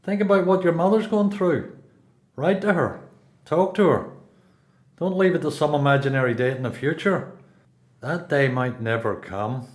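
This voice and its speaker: A man's voice: medium pitch, animated, unhurried, pauses often, natural voice. A man with a Belfast accent playing a seasoned prisoner in a radio play, a blunt mentor who talks in terse, instructive lines. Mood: earnest, urging. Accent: Belfast Northern Irish.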